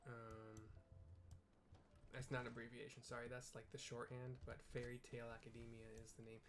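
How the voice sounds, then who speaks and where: A man's voice: mid-range voice, completely flat delivery, moderate pace, noisy background. A middle-aged man giving casual, rambling commentary as he streams a game. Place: small room at home. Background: game music.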